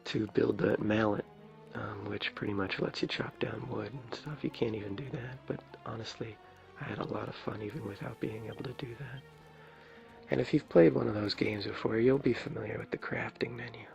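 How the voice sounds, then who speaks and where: A man with a low raspy voice giving an ASMR gameplay tour as a video creator, talking softly in a hesitant, rambling way. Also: fairly even intonation, fairly quick. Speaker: video creator showing his game builds. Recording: dull lo-fi mic, clear background music. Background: game music.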